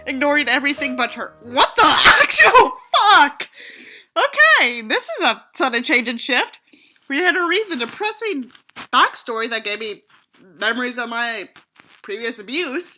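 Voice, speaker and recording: A woman's voice: high voice, pushed and bright. A woman reading a novel aloud with sardonic commentary, in an exasperated, sarcastic manner with sweary asides. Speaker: audiobook reader and commentator.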